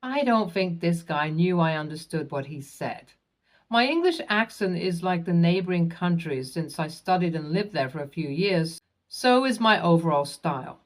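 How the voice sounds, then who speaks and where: A woman narrating online posts in a scripted, even reading that spells out acronyms letter by letter. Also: on the low side, normal speed, low-quality recording.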